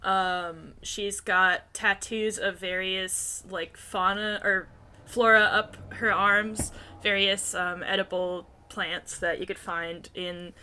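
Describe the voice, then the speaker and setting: A woman player in a tabletop game speaks casually as she improvises a description. Her tone is bright and forward, and she goes slowly, in halting pieces. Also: medium pitch, little pitch movement, slight background noise. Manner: unhurried, halting.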